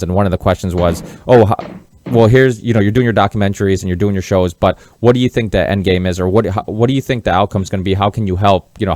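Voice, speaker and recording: A man with a fairly deep voice hosts a conversational interview podcast. He talks earnestly and tends to ramble, and the sound is dry, free of room reflections. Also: darkish tone, no echo. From Massachusetts, born in Portugal. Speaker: podcast host.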